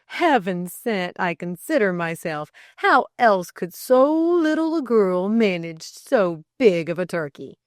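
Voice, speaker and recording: A woman playing a pompous, theatrical gentleman. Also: medium pitch, animated, measured pace, hardly any pauses, no room sound, studio quality, lightly acted. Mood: teasing. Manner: pompous, declamatory, bantering. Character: imperious, teasing, theatrical gentleman master of the house.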